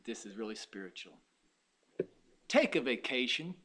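A man in his fifties preaching a sermon, emphatic, swinging from loud urging to hushed asides and acting out his listeners' objections. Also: mid-range voice, very animated, normal speed. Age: 50s. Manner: acts out the doubting listener's objections. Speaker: pastor.

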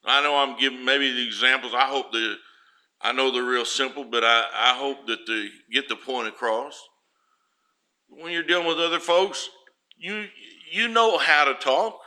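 Loud folksy preacher with a bright voice. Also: male voice, mid-range voice, normal speed. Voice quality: naturally loud voice. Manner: homespun, storytelling, rises loud for emphasis. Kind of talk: folksy sermon.

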